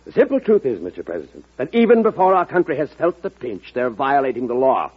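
An actor playing a blunt, skeptical, practical cabinet secretary in an old-time radio drama, argumentative and dry. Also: male voice, medium pitch, very animated, quick pace, breathy edge. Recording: muffled vintage radio drama recording.

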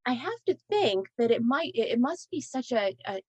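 A woman's voice: a little high, very animated, moderate pace, darkish tone, husky, no room sound. A woman host asking questions in a casual interview, rambling and restarting her sentences. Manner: rambling, hesitant, many restarts.

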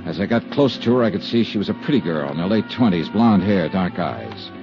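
A man's voice: sits low, sing-song, fairly quick, creaky, exaggerated voice. An American actor playing a tough insurance investigator who narrates his own case in a 1950s radio detective drama. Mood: terse, measured narration. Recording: muffled old radio broadcast, music behind.

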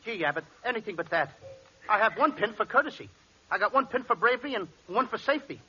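A high, bright, whining voice of a bumbling manchild in a 1940s radio comedy. It bounces all over the place and keeps a breathy edge. The sound is dull and lo-fi, like an old broadcast. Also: male voice, animated, quick pace, full character voice. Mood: whiny. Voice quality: high, whiny. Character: childish, bumbling grown man, easily confused.

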